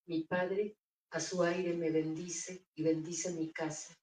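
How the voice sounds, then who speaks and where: A woman reading her own poems aloud in a measured, deliberate recital at a bookstore. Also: lowish voice, flat delivery, darkish tone, distant mic. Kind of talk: poetry reading. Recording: echoey room, voice off-mic.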